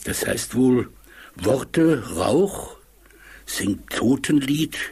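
Old professor puzzling things out, very husky, creaky, slow. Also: male voice, mid-range voice, very animated, a little mellow, full character voice. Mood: pondering. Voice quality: husky, gravelly. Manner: slow, pondering. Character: deliberate older professor decoding secret messages. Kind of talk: radio mystery drama.

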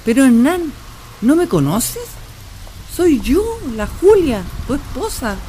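A woman voicing an older wife caring for her husband, gentle and coaxing, in a community radio drama. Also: high voice, sing-song, slow, a little hiss or hum, heavily acted, low-quality recording. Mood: tender.

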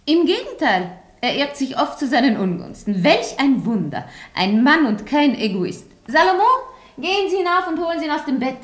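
A woman's voice: high voice, big pitch swings, moderate pace. Domineering landlady, wide swooping intonation, grandiose manner, roomy radio drama recording. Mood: fussy, fond. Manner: commanding, grandiose. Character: bossy, theatrical French landlady, sentimental about her lodgers. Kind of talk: German radio drama acting.

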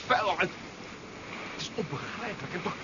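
A man's voice: high voice, lively intonation, normal speed. A male actor playing a troubled, doubting civilian confidant who searches for words in a science fiction radio play. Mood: troubled, bewildered. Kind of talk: 1970s science fiction radio drama acting. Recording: dull, noisy 1970s radio drama recording.

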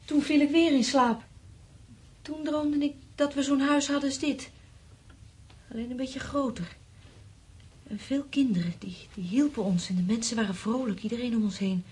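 An actress playing a feverish, frightened wife in a radio play, speaking intensely in a husky, breathy voice. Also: female voice, high-pitched, a little mellow. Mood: dreamy, haunted. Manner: intense, halting recounting and insistent questioning. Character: feverish, frightened wife, haunted by bleak dreams.

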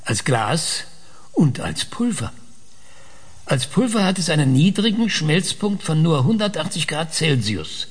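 An actor playing a sardonic, embittered scientist in a radio play, with a husky, raspy voice and a hesitant, halting delivery. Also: male voice, medium pitch, a bit bright, heavily acted. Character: sardonic, embittered male scientist, last of his kind.

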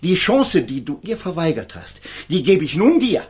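An actor playing an older, stern and bitter idealist lawyer in a radio crime drama, speaking solemnly and deliberately. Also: male voice, on the high side, big pitch swings, a little mellow. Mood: solemn, admonishing.

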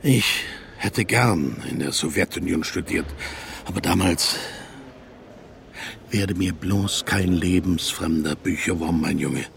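Creaky-voiced, condescending military political officer. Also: male voice, low-pitched, slow, fairly bright tone, a little hiss or hum, exaggerated voice. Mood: fatherly. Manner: patronizing, officious, needling. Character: stern, condescending male East German army political officer. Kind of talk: radio drama dialogue.

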